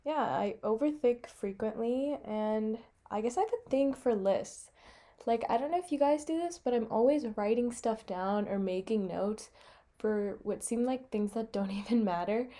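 A young American woman co-hosts a podcast in a casual, chatty style. Her voice sits a little high for a woman, yet it is mellow and relaxed in tone. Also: normal speed. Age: young adult, about eighteen. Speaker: podcast co-host. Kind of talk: casual chat.

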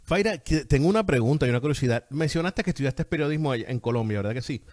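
A man's voice: mid-range voice, animated, quick pace, a little mellow. A man hosting an interview show in a conversational, effusive way, repeating phrases for emphasis.

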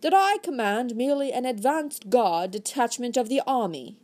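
A woman voicing a proud, theatrical male actor who takes command with grand, booming delivery. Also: animated, normal speed, darkish tone, natural voice. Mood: grave.

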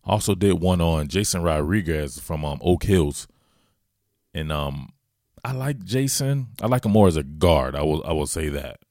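A man's voice: deep voice, expressive, normal speed, a little mellow, no echo, clean studio sound. A man with a Southern US accent hosting casual, slangy football commentary. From southern United States. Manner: slangy, informal, familiar address to listeners. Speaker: host, football analyst. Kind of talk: casual solo sports commentary.

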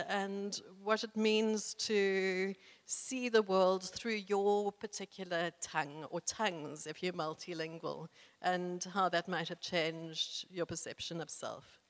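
A woman leading a workshop group through a writing exercise, in a friendly, encouraging way with hesitant restarts. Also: medium pitch. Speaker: workshop facilitator. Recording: echoey room with long reverb.

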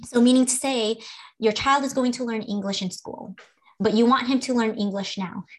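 A woman's voice: mid-range voice, rough recording. A woman co-hosting a parenting podcast as a speech-language pathologist, explaining patiently with fillers and easy laughter. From Philippines. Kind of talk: casual advice chat.

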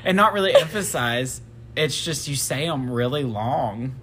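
Lively-voiced joking man podcaster. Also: medium pitch, measured pace, a little hiss or hum. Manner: joking, self-mocking, teasing. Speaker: podcast co-host. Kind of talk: casual chat.

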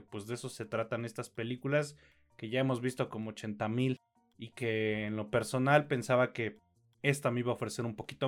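A Mexican man with a mid-pitched voice chats as co-host of a film podcast. His reviewing is casual and slangy, with a grumbling, complaining manner. Faint music plays behind him.

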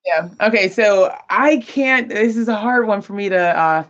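A woman hosting an interview, speaking in a halting way with many restarts and fillers. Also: medium pitch, expressive, highs cut off. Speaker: podcast host. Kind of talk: casual interview chat.

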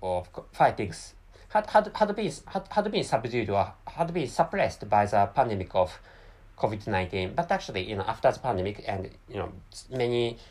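A man speaking English as a second language, talking alone in a halting way, with many restarts and self-corrections. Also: fairly low pitch, lively intonation, a little mellow. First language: not English. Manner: apologetic about his English. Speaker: commentator. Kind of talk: unscripted solo monologue.

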